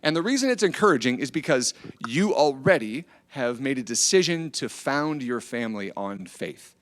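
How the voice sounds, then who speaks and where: A middle-aged man with an American accent speaks to a group in an informal, motivational way. His voice sits at an average pitch. He tells stories conversationally and keeps inviting agreement with short tag questions. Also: big pitch swings, normal speed, a bit bright. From Iowa, United States. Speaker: speaker at a church family event. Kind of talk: informal motivational talk with storytelling. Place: church, speaking to a gathered group.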